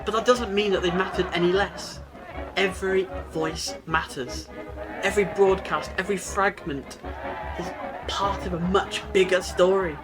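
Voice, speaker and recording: An audio drama time traveller, played by a man with a high voice. He is wise and earnest, explaining history like a storyteller with real enthusiasm. Music plays clearly underneath. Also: fairly even intonation, normal speed, a crowd in the background, close to an everyday voice, rough recording. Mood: reflective.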